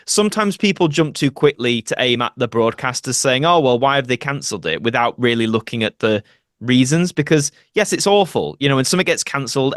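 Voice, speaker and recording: Male podcast guest, medium pitch, earnest conversational delivery, very dry sound. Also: a bit bright, no echo. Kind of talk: casual interview conversation.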